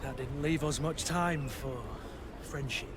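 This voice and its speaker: An actor playing a loyal, tender guardian in a video game, measured and earnest. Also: male voice, medium pitch, noisy background, natural voice. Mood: tender, wistful.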